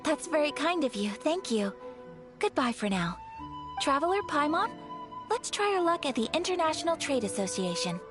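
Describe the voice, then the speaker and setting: Casting: gracious young clan lady for a game, high-pitched, expressive, impeccably polite. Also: moderate pace, music in the background. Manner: formal, courteous, gentle. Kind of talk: video game voice acting.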